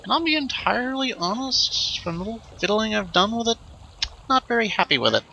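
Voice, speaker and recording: A man hosting a let's play with casual, improvised commentary, rambling and thinking out loud as he goes. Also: high voice, sing-song, brisk, creaky, muffled recording, slight background noise.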